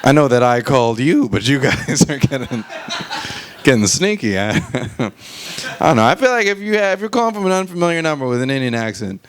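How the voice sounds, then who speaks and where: A man performing conversational stand-up at a live open mic, animated and sweary, often checking in with the audience using short questions. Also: medium pitch, brisk. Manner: animated, swearing, frequent rhetorical check-ins to the crowd. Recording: clearly noisy live open mic recording.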